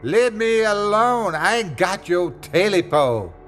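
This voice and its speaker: Old backwoods man, voiced by a male storyteller, high yelping calls, rural dialect, faint music. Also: big pitch swings, takes it slowly, a bit bright, exaggerated voice. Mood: frightened. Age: older. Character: old backwoods man living alone, easily scared.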